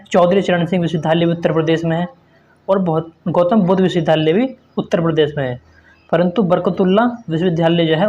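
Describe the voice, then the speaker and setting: A man's voice: high-pitched, a little mellow, dull audio. A man teaching an exam-prep quiz lesson, reading out questions and explaining answers in an instructive way with repeated emphasis.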